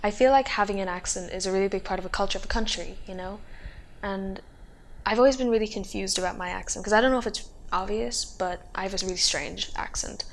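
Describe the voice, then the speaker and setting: A woman's voice: mid-range voice, quick pace. Casting: young woman from Ireland, candid, thoughtful, a little unsure, natural documentary testimony. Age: young adult. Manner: candid, hesitant with frequent ums. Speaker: documentary participant. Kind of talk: reflective personal testimony.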